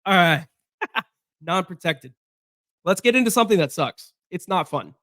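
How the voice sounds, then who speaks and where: Hoarse guy kinda high voice rambling casually about his team's roster, dry close sound. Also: lively intonation, normal speed, sharp tone, no echo. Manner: chatty, rambling with fillers and restarts. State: hoarse from being sick. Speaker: sports podcast host. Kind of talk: casual solo sports breakdown.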